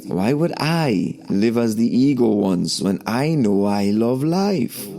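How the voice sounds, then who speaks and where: A man telling a scripted story for children as a spiritual lesson, deliberate and preachy, stressing key words. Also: medium pitch, sing-song, creaky, warm tone. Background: background music.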